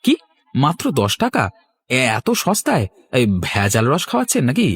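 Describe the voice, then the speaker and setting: A man's voice: a little high, wildly swooping pitch, normal speed, fairly bright tone, husky, heavily acted. A man playing a naive, polite city visitor in a cartoon, chatty and trusting. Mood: surprised, then satisfied. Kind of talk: cartoon voice acting.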